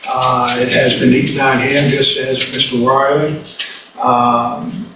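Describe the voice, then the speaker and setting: An older man argues a case in a rambling, repetitive, halting way. His pitch barely moves. He is picked up from a distance in a very echoey courtroom with clear background noise. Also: little pitch movement, sharp tone. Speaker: defense attorney. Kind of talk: courtroom closing argument.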